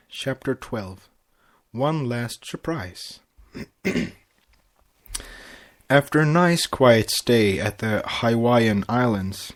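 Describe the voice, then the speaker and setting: A man co-hosting a podcast, chatting casually and narrating a story in a halting way with stumbles. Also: medium pitch. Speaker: podcast co-host and fan fiction reader.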